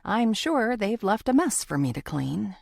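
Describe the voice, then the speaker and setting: A woman's voice: medium pitch, big pitch swings, measured pace, creaky, darkish tone, dry recording, studio quality. A woman narrating a first-person thriller audiobook from a script.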